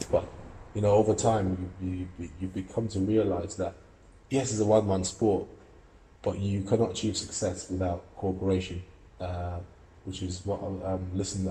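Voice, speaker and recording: Young guy from russia, flat steady delivery, lots of ums, roomy echo with faint background hum. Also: sits low. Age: young adult. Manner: earnest, reflective, many fillers and restarts. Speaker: amateur boxer and university student. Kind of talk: interview answers.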